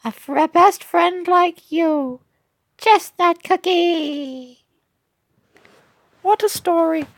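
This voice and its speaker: Tiny cookie child, very high-pitched, slow-paced, sweet, excitable, earnest, storybook game character. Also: female voice, no echo, exaggerated voice. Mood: cheerful, friendly.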